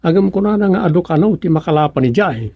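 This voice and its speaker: An actor playing an eager fisherman's son with a husky voice and an Ilocano accent in a radio drama comedy. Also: sits high, expressive, fast, dark tone, no room sound, studio quality, exaggerated voice. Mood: eager, explaining.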